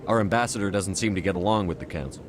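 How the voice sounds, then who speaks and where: Man playing a firm, low-voiced military commander. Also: quick pace, slight background noise, lightly acted. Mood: businesslike. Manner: firm, blunt, controlled. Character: resolute human male military commander, game hero. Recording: music behind the voice.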